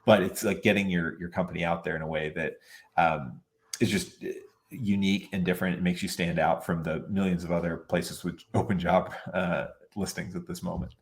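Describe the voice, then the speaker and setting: Deep-voiced man giving chatty advice. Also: level tone, brisk. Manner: chatty, many "like" fillers and restarts. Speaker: guest speaker. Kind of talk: casual advice-giving talk.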